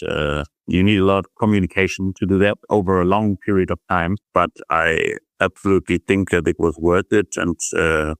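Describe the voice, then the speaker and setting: A man, a journalist guest, giving measured interview answers with frequent fillers. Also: sits low, fairly bright tone, smooth, no room sound, studio quality.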